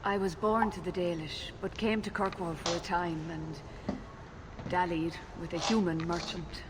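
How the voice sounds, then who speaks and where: A woman's voice: fairly low pitch, steady tone, moderate pace, noisy background, lightly acted. An actress voicing an anxious, earnest elven mother in a video game. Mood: anxious, confiding. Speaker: game character voice actor. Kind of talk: dramatic video game dialogue.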